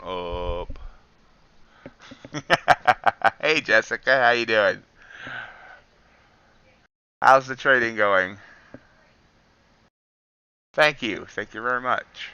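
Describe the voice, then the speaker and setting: A hoarse man hosting a livestream, chatting casually as he reacts to the chart and greets viewers. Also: mid-range voice, expressive, drawn-out words, long pauses, pushed and bright, no echo, dull audio. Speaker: futures trader hosting a livestream. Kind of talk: casual live-stream market commentary.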